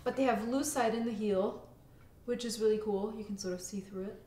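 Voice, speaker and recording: A woman's voice: on the high side, fairly quick, mellow, slight background noise. A young woman from Germany, a fashion blogger, chatting enthusiastically about her clothes in an interview, with lots of "like" and "you know". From Germany (Munich). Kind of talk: casual interview, showing her wardrobe. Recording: echoey room with music behind.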